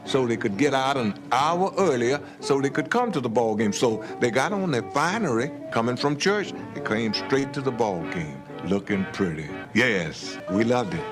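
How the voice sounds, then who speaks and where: A man's voice: mid-range voice, lively intonation. An older man reminiscing fondly in a documentary interview. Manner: reminiscing, fond and unhurried. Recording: documentary clip with music behind.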